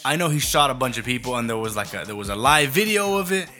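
A man's voice: mid-range voice, lively intonation, quick pace, pushed and bright, soft background music. A man hosting a show, giving a blunt, profane, comedic rant in casual talk.

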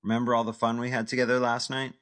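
A man's voice: medium pitch, flat delivery, fast talker, a bit bright, voice pushed up, close to an everyday voice. A man voicing a brash, talkative male ex-actor in over his head.

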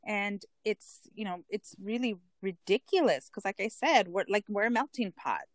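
A woman's voice: mid-range voice, normal speed, no echo, clean studio sound. A woman in a casual group conversation, speaking with emphatic indignation.

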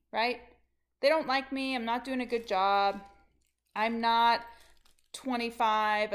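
A middle-aged woman coach telling her own story in a candid, emphatic podcast monologue, speaking straight to her listeners as friends. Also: mid-range voice.

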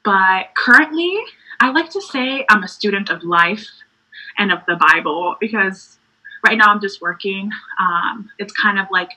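Bright, husky young woman chatting. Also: medium pitch, lively intonation, measured pace, rough recording. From Puerto Rico. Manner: warm, laughing, filler-heavy. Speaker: podcast guest. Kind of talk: casual faith chat.

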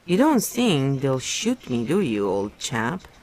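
A man's voice: mid-range voice, huge pitch swings, slow, darkish tone, heavily acted, low-quality recording. A man reading aloud and voicing a pudgy, frightened middle-aged prisoner who pleads eagerly and almost blubbers. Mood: pleading.

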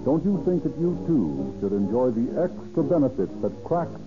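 A man's voice: medium pitch, sing-song, moderate pace, music in the background. An American man announcing in a formal, emphatic 1930s radio style, selling and narrating from a script. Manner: formal, emphatic salesman-like delivery. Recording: dull old radio recording.

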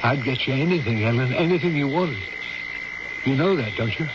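A man's voice: mid-range voice, animated, brisk, natural voice. Radio drama dad male actor: devoted, troubled widower, earnest, terse, mid-range voice, very noisy vintage audio. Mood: tender, reassuring. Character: troubled, devoted widowed father of an ailing daughter. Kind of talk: old-time radio drama acting and narration. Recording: noisy, dull old radio drama recording.

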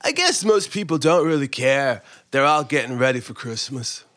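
A man voicing an unbothered, mildly amused store employee with vocal fry, casual and matter-of-fact, in a comic audiobook. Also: mid-range voice, expressive, moderate pace, fairly bright tone, no room sound, lightly acted. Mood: casual bemusement. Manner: laid-back, matter-of-fact. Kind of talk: comic audiobook character acting.

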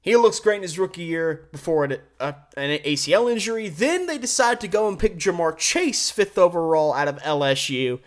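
A man's voice: sits high, lively intonation, moderate pace, few pauses. An American man hosting a solo sports show, giving blunt, opinionated commentary and game predictions.

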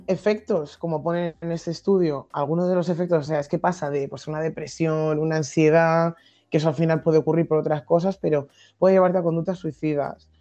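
A young woman from Madrid with a Madrid accent, a podcast guest explaining her ideas thoughtfully, with many pauses and restarts. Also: low-pitched, warm tone, muffled recording. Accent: Madrid Spanish. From Madrid, Spain. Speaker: guest activist. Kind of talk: podcast interview.

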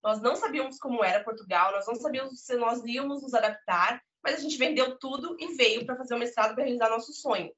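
A woman's voice: fairly high pitch, fast, few pauses, fairly bright tone. Earnest Brazilian woman, muffled audio. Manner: earnest, emphatic storytelling with frequent "né?". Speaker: podcast guest. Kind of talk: conversational interview.

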